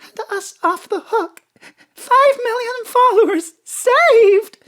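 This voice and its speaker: A man voicing a shrill, indignant president's wife in an audio drama. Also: lively intonation, slow pace, bright, forceful tone, exaggerated voice. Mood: gleeful scheming.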